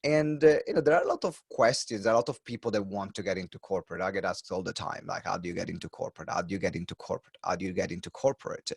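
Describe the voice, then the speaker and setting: A man's voice: low voice, racing, vocal fry, few pauses, breathy edge, no echo, studio quality. A man hosting a business podcast interview, upbeat but hesitant, with many restarts. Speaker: podcast host.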